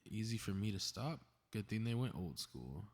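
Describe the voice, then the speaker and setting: Low-voiced, snarky superhero played by a man. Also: sing-song, normal speed. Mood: scheming, amused. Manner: sarcastic, muttering asides, casually profane. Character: cocky, snarky young male superhero playing invisible mischief-maker. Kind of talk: scripted ASMR roleplay audio drama monologue.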